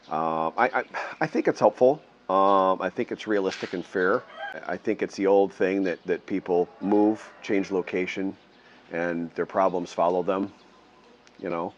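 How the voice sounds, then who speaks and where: Deep-voiced older man chatting outdoors. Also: dull audio, a little hiss or hum. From Midwestern US. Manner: rambling, self-correcting, chuckles often. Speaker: vlogger living abroad. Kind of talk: casual rambling talk to camera. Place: outdoors under a metal roof, animals nearby.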